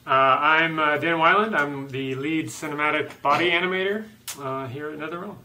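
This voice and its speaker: A man's voice: a little high, normal speed, pushed and bright. A man speaking as an interview guest, explaining his work informally with somewhat hesitant phrasing. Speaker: guest, game animator. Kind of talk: casual explanatory interview talk.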